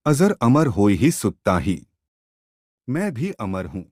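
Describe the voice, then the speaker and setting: A man's voice: lowish voice, big pitch swings, slow pace, darkish tone, dry recording, studio quality, exaggerated voice. A man voicing a confident, devoted monkey god warrior in a mythological storybook tale, assured and reverent.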